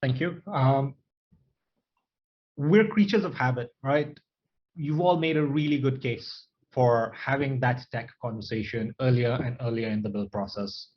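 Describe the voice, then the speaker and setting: A man hosts a webinar panel in a casual, conversational way, framing his questions from a client's side. His voice is mid-pitched. It comes through a slightly dull video-call line. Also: a little slow. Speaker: webinar moderator. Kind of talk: moderating a panel.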